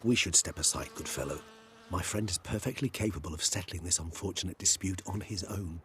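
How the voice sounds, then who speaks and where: Low-voiced erudite gentleman played by an actor. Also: noisy background, lightly acted. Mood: calm warning. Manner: measured, formal, wordy. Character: erudite, courteous, philosophical gentleman companion. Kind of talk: video game voice acting.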